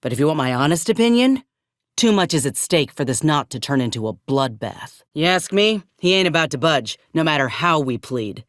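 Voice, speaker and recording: An actress voicing a frank, loyal frontier soldier in a video game. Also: female voice, low-pitched, big pitch swings, normal speed, dry recording, clean studio sound. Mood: grave, frank. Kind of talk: scripted video game character dialogue.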